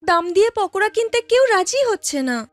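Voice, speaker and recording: A woman's voice: very high voice, big pitch swings, normal speed, pitched above the performer's own voice. A woman voicing an earnest young street seller in a village cartoon, polite and eager to explain. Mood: worried.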